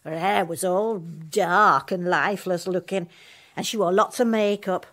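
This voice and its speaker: An actress playing a deferential older cook, talking slowly in a radio play. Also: female voice, lowish voice, steady tone, a bit bright. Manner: deferential, chatty, slow. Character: older female country cook, respectful.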